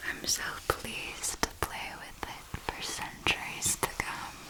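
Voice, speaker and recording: Woman whispering as an ancient vampire mentor, very slow. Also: sharp tone, low-quality recording. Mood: wistful, elegant menace. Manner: slow, deliberate, theatrical, elegant old-fashioned phrasing. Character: ancient, refined female vampire mentor, velvety and self-assured. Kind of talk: whispered ASMR roleplay storytelling.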